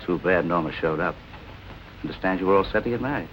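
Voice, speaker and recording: An actor playing a cool, commanding nightclub boss with a clipped, sardonic manner in 1940s film noir style. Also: male voice, deep voice, quick pace, natural voice. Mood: sardonic, needling. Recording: noisy old film soundtrack.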